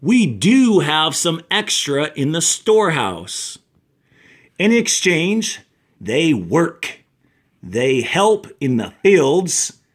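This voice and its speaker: A practical townswoman from a storybook, put on by a male reader. She is decisive and earnest, setting out her points with emphasis. The voice sits a little high and moves slowly, with lively rises. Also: slightly rough, heavily acted.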